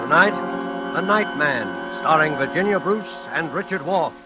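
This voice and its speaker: Man, 1940s radio host, formal, ominous, fairly high voice, dramatic pauses, loud music behind, old recording. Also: lively intonation. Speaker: announcer and host. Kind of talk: 1940s radio announcing, scripted.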